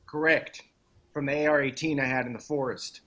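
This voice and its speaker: A man's voice: medium pitch, steady tone, fairly quick, sharp tone. A man playing a wry, gung-ho activist in a dramatic table read, speaking in clipped, punchy phrases. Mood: wry, confident. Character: McKenna, wry, gung-ho male militant activist. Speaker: actor.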